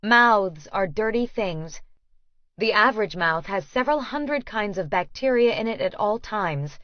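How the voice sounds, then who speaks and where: A woman's voice: medium pitch, animated, a little slow, no echo, muffled recording. Woman narrator, dry studio sound. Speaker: audiobook narrator. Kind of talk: first-person scripted narration.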